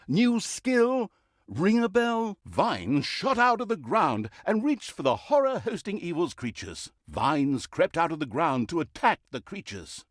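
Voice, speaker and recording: A man's voice: a little high, dry recording, clean studio sound. A man playing a pompous, dryly mocking storyteller who narrates an evil overlord's deeds in a video game, with theatrical emphasis. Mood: exasperated, then storytelling, wry.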